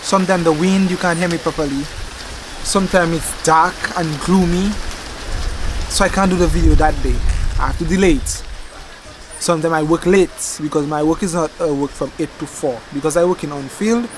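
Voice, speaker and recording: Young man, rambling, self-correcting vlog chat, slightly raised pitch, faint yard ambience behind. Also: normal speed, noisy background. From Dominica. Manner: relaxed and chatty, self-correcting, laughs at his slips. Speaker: gardening channel host. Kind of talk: casual rambling chat to camera. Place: outdoors in a backyard garden. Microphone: camcorder microphone.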